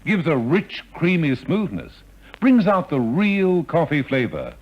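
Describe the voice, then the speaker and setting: A man reading a vintage radio commercial with a soft touch and careful emphasis on an archival recording. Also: fairly high pitch, very animated, measured pace, dull audio. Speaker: commercial announcer.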